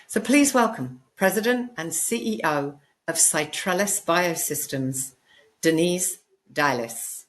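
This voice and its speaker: Low-voiced woman podcast host. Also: lively intonation, takes it slowly. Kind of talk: scripted intro then interview chat.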